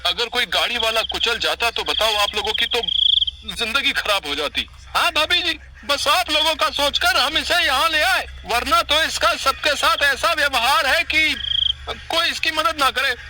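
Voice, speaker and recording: A man's voice: extremely high pitch, measured pace, heavy background noise. A man voicing a concerned neighbour in a cartoon, earnest and complaining about his friend. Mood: exasperated. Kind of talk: Hindi cartoon voice acting.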